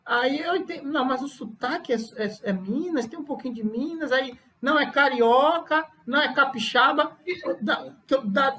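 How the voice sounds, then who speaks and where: A man's voice: very high voice, bright, forceful tone. A man from Bahia with a Bahian accent, hosting a podcast and asking questions in a chatty, easygoing way. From Bahia, Brazil. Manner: chatty, asks questions, thinks aloud. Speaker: podcast host. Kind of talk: casual interview.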